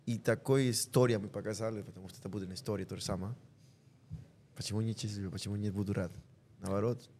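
A man from Ecuador with a Spanish accent, a football player speaking halting, non-native Russian as a guest in a casual podcast interview. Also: fairly low pitch, steady tone, dark tone, a little hiss or hum.

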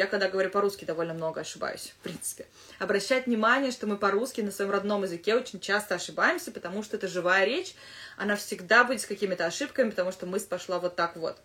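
A woman English teacher giving casual advice to fellow teachers, thinking aloud with lively asides. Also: medium pitch.

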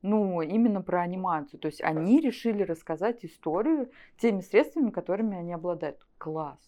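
A woman's voice: fairly low pitch, lively intonation, brisk, warm tone. A woman giving her opinions conversationally as a director invited to an interview. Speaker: animation studio guest, director.

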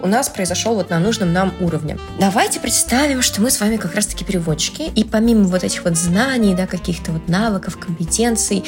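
A young woman from Russia, a translator, explaining things in a casual, playful vlog with slangy asides and animated emphasis on key words. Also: mid-range voice, fast talker, few pauses. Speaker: translator and Italian language blogger. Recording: music playing loudly under the voice. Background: background music.

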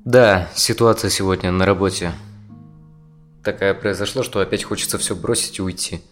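A low-voiced man in his thirties, played by an actor, tense and full of self-doubt. He speaks haltingly, like a worn-down therapy client in a naturalistic audio play, with music playing softly behind him. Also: fairly even intonation, natural voice. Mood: frustrated, angry. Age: 30s. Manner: hesitant, halting, sighing speech. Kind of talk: naturalistic audio drama acting.

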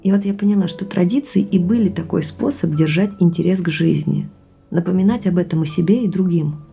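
Thoughtful young woman, creaky and mellow. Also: on the low side, fairly quick. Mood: reflective, wistful. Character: thoughtful young woman sharing family mystery with boyfriend. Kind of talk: naturalistic audio drama dialogue. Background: music underneath.

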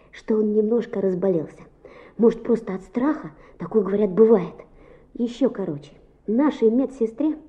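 A woman playing a mischievous, chatty schoolboy in a radio play, expressive and boyish. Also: a little high. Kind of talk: radio play narration and dialogue. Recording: muffled, narrow-band old radio recording.

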